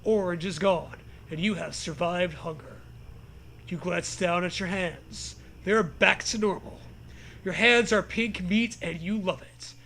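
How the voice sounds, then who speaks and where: A man's voice: sits high, gravelly, fairly bright tone, a little hiss or hum. A man co-hosting a comic read-aloud, reciting an absurd story in a mock-dramatic manner. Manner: mock-dramatic, swears casually. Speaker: co-host reading aloud.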